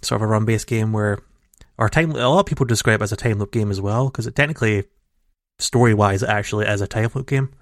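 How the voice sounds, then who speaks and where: A man with a fairly low voice co-hosts a casual gaming podcast, wandering through his thoughts with plenty of restarts and fillers. His audio is dry and raw, taken straight from a home computer mic with no room sound. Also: fast, dry recording. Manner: rambling, many restarts and fillers. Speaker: podcast co-host. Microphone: home computer mic over a call.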